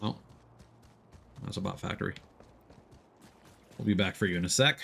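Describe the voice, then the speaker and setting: A man's voice: sits low, normal speed, vocal fry, pushed and bright, a little hiss or hum. A man giving casual, playful gameplay commentary, with loose swearing and silly exclamations. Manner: playful, profane exclamations and sound words. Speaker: game streamer. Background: video game sound effects.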